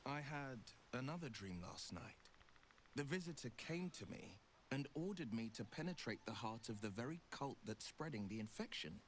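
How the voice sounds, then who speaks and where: A man playing a resolute, gallant young adventurer in a video game, speaking in an earnest, measured way. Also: mid-range voice, lively intonation, a little hiss or hum, natural voice, low-quality recording. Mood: earnest, reflective. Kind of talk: video game dialogue acting.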